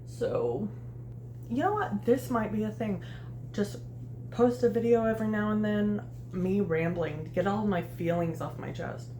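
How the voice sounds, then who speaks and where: A woman in her late twenties talks on and on in a loose, chatty ramble, laughing between thoughts. Her voice sits at an ordinary pitch, and the room around her rings with echo. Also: animated, measured pace, warm tone, a little hiss or hum. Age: late 20s. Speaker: vlogger. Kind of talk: casual rambling get-ready-with-me chat. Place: at home doing makeup.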